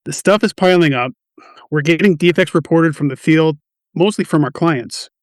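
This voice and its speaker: A man plays a stressed quality assurance manager in an office radio drama. His voice sits on the high side, with a defensive, wry and touchy manner. The recording is dry. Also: moderate pace, no room sound, close to an everyday voice. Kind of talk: amateur radio play dialogue.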